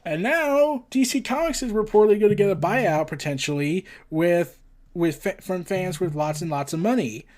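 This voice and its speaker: A man hosting a casual entertainment news roundup, rambling and halting, often tripping over his words and losing his thread. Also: high voice, expressive, darkish tone. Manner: scattered, halting, often tongue-tied and losing track. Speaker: host of a comics and entertainment news show.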